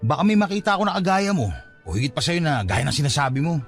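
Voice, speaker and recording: Lalaking gumaganap na sawing binata, mataas at madamdaming boses. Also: male voice, on the high side, sing-song, quick pace, faint music behind. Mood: frustrated, hurt. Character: hurt, fed-up young father giving up on his unrequited love. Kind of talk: Filipino radio drama acting.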